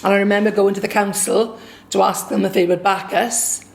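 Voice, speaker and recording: A woman from Swansea with a Welsh accent, a singer and songwriter recounting a personal story in a lively, anecdotal way. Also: medium pitch, fairly quick, creaky. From Swansea, Wales. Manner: lively anecdotal, acts out quoted dialogue.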